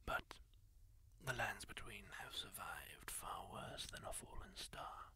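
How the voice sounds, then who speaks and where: A man voicing a kindly masked healer-warrior, telling tales in a slow, breathy ASMR whisper close to the mic. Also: lowish voice, huge pitch swings, exaggerated voice. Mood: hushed. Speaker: ASMR roleplayer. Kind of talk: whispered ASMR roleplay monologue.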